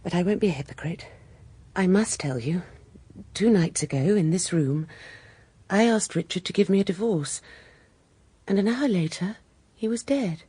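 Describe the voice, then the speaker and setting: A woman playing a poised, candid British widow in a radio drama, genteel and measured, with a dark husky voice. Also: on the low side, no echo. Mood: candid, weary. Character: poised, candid upper-class widow, coolly charming.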